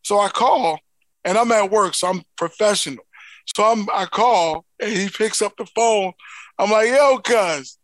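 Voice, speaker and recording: A man from Philadelphia with a Philly accent, co-hosting a casual podcast and telling stories with big, loud laughs. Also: high voice, a little slow, no echo. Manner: animated storyteller, laughs loudly at his own stories. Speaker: co-host.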